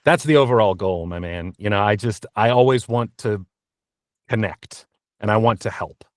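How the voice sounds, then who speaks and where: A man answering interview questions candidly as a voice actor, in an earnest, reflective and halting conversational manner. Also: on the low side, dry recording, studio quality. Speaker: interview guest, voice actor and narrator.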